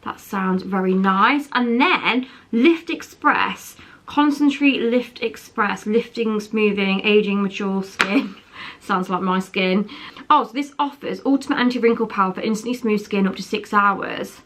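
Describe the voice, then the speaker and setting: A woman's voice: mid-range voice, unhurried, a bit bright. A young woman vlogging at home, chatty and rambling, wheezy from a chest infection. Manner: chatty, rambling, self-correcting. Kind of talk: casual chatty vlog. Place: home, indoors.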